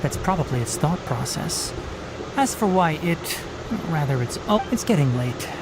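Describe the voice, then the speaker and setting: A man voicing a calm, clever young forest ranger in a video game, measured and precise. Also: a little high. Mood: matter-of-fact. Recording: game audio with noise.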